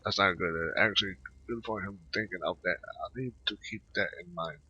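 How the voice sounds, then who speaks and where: A man giving casual, halting commentary over a video game playthrough, mumbling asides and bits of on-screen text. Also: sits low, sharp tone, a little hiss or hum. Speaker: gameplay video host. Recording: slightly muffled, dull microphone sound. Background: voice-over on gameplay recording.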